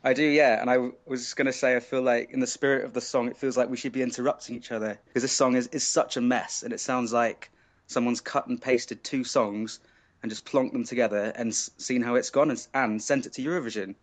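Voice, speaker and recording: A man's voice: medium pitch, fairly quick. Emphatic man giving his verdict. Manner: animated, emphatic, repeats words for stress. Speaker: panelist. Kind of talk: opinionated music commentary.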